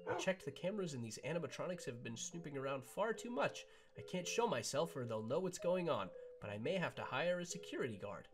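A woman playing an excitable, jumpy animatronic fox in a chatty, theatrical Minecraft roleplay. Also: sits low, fast talker, music in the background. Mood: hushed curiosity. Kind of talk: improvised Minecraft roleplay for a family audience.

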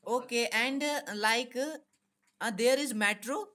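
A young man with an Indian accent chats casually on a phone video call, asking questions haltingly in simple, repetitive English. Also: extremely high pitch, sharp tone. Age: young adult. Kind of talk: casual chat with strangers.

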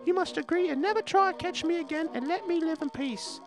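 Brief: a tiny, polite storybook mouse, squeaky and pleading, music behind. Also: male voice, fairly quick. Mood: bargaining. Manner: pleading, formal and courteous. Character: small frightened male house mouse, polite.

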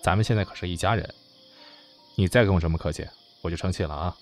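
A man's voice: deep voice, fast talker, soft background music. An actor in an audio drama playing a modern young man who is doting, exasperated and secretly lovesick. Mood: caring, coaxing.

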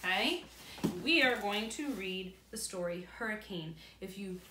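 A woman in her thirties reads a storybook aloud to children as a teacher, pausing to explain things in simple words. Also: mid-range voice, expressive. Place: indoor room beside a large glass door. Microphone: camera a few feet away. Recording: roomy, distant camera mic with faint noise.

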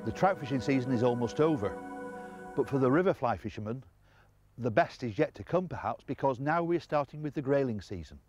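An older man presenting a fly fishing lesson on a riverbank, explaining calmly with quiet enthusiasm, recorded on a clip-on mic. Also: medium pitch, a little fast, soft background music. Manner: calm, explanatory, enthusiastic about the fish. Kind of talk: outdoor instructional presenting. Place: outdoors on a river bank.

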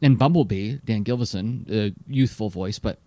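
A man co-hosting a podcast in casual chat, animated and full of fillers. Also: lowish voice, moderate pace, no room sound. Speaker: podcast co-host.

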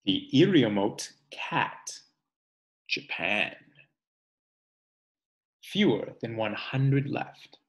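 Male, 30s, unhurried picture-book reader, deliberate and pausing, recorded at home on a device mic. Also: medium pitch, very animated, lo-fi sound. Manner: deliberate, pausing, musing asides. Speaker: read-aloud reader. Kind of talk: reading a children's nonfiction picture book aloud, with asides. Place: small indoor room at home.

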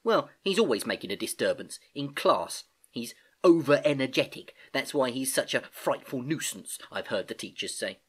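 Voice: medium pitch, lively intonation, moderate pace, hardly any pauses, husky, pitched above the performer's own voice. A storybook narrator voicing a curious, cheeky young niece who asks eager questions.

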